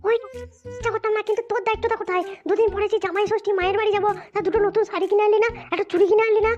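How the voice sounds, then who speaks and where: A woman voicing a foul-mouthed, nagging young wife in a comedy cartoon, with a West Bengal accent and a pitched-up voice. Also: fairly even intonation, very fast, a little mellow. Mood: defiant. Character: shrill, quarrelsome young wife, defiant and aggrieved. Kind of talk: amateur cartoon comedy squabbling. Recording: dull lo-fi sound, music behind.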